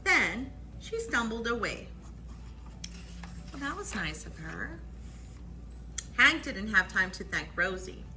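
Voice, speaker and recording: A woman's voice: mid-range voice, slight background noise, rough recording. A woman reading a children's picture book aloud at storytime, expressively, with asides on the pictures. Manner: expressive, pauses to point out pictures. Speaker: library storytime reader.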